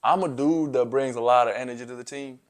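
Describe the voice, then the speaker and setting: A man's voice: medium pitch, quick pace. A young man from South Sudan, a college basketball player, answering interview questions in a laid-back way, full of "you know" and "um". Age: young adult.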